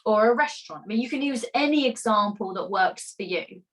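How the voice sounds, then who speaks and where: A woman coaching musical theatre performers in a live online masterclass, energetic and encouraging, chatty with rhetorical questions. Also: medium pitch, fairly quick. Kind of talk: live online masterclass, reading chat comments.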